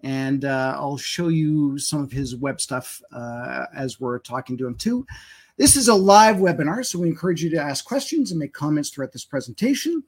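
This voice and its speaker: Husky-voiced male webinar host. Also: fairly high pitch, expressive, moderate pace. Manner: chatty, hesitant with fillers. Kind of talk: live webinar interview.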